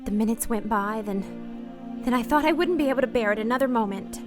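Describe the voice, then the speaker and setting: A woman's voice: a little high, brisk, music in the background, close to an everyday voice. A woman playing a weary, heartbroken married woman parting from her lover, hesitant and anguished, in a radio drama. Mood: grieving. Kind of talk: radio play acting with confessional narration.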